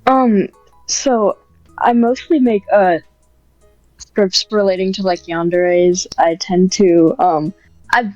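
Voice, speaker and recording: A woman's voice: medium pitch, fairly even intonation, slow pace, darkish tone, no echo. A woman guest on a podcast, talking casually and hesitantly about writing ASMR scripts, with lots of fillers and restarts. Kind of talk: casual interview chat. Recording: muffled, dry, faint music behind.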